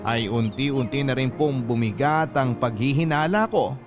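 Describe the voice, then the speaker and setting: A man narrates a scripted letter for a radio love-story drama. His voice is medium in pitch, measured and earnest, with music clearly playing behind him. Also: expressive. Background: background music.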